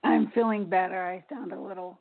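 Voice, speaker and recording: A woman co-hosting a call-in radio show, her voice raspy while she recovers from an illness. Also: medium pitch, fairly even intonation, fairly quick, no echo. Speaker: co-host. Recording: tinny phone line.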